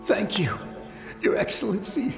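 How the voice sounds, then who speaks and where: A man playing a distraught, devout samurai soldier in a video game, speaking in a dramatic, halting way. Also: high-pitched, very animated, gravelly, exaggerated voice. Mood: reverent gratitude. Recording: echoing game voice over music.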